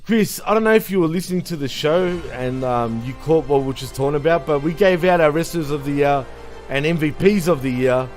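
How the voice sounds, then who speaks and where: A man's voice: fairly high pitch, brisk, faint music behind. A man co-hosting a casual online awards show, joking, laughing and swearing freely. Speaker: co-host.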